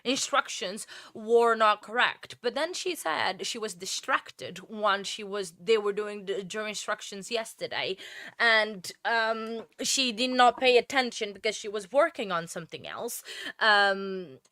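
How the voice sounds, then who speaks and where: A woman's voice: medium pitch, measured pace, bright, forceful tone, no echo, clean studio sound. Chatty Portuguese-accented woman streamer. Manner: rambling, chatty, many fillers and restarts, greets chat by name. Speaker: true crime livestream host. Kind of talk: casual livestream chat with viewers.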